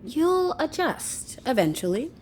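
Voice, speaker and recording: A woman's voice: high voice, big pitch swings, takes it slowly, full character voice. A woman acting in a naturalistic audio drama as a breezy, unapologetic, sardonic woman who teases flippantly. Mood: flippant, amused. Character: breezy, unapologetic woman with an experimental safety chip.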